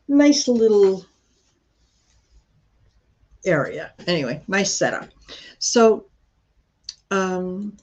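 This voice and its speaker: Slow, gravelly woman chatting on a live stream. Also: low-pitched, a little mellow, highs cut off. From Croatia. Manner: rambling, halting, many fillers and restarts. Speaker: tarot reader hosting a live stream. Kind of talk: casual live-stream chat with viewers.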